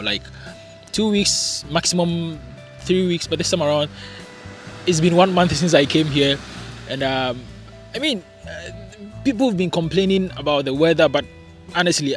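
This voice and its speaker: Chatty Ghanaian-born man vlogging. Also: a little high. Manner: chatty, animated, asks rhetorical questions, many fillers. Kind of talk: casual vlog chat. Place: home in hot, humid climate.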